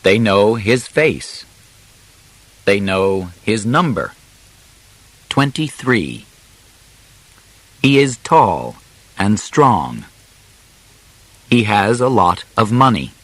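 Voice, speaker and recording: Very slow, deep-voiced male audiobook narrator. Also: very animated, a bit bright, no room sound. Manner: slow, deliberate phrasing in short, separated chunks. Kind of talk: scripted narration of a simplified biography.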